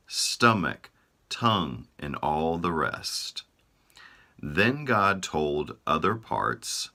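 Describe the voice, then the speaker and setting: A man reading a children's folktale aloud, slow and expressive. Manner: slow, lively storytelling, voicing body parts in raised pitch. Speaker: storybook reader.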